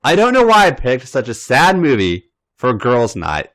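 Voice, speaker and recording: A man's voice: mid-range voice, huge pitch swings, moderate pace, fairly bright tone. A man voicing an emotional, bubbly young woman who is eager and persuasive.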